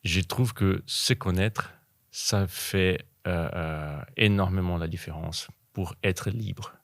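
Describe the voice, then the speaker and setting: A man from Brazil, a podcast guest who speaks French haltingly and often repeats words while he searches for them. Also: deep voice, fairly even intonation, no room sound, clean studio sound. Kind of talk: casual interview conversation.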